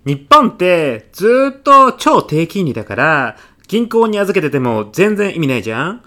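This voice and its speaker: A man's voice: on the high side, very animated, normal speed, pushed and bright. A man playing a mild, self-effacing classmate in his thirties with a sly salesman's streak, speaking politely in a comedy radio drama. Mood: mimicking sales pitch. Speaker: actor in an audio comedy sketch.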